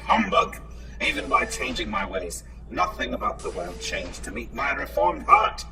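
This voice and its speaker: Old cyborg villain, gravelly, wildly swooping pitch, pompous, theatrical, menacing, audio drama, loud music behind. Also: male voice, very animated, normal speed. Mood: bitter, bombastic. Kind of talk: audio comedy drama acting. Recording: distant-sounding voice under loud music.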